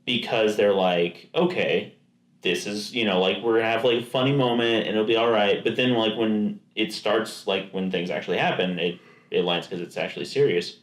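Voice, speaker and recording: A man's voice: fairly low pitch, normal speed. A man co-hosting a podcast in casual chat, rambling with many restarts and quoted asides. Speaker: podcast co-host. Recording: echoey room.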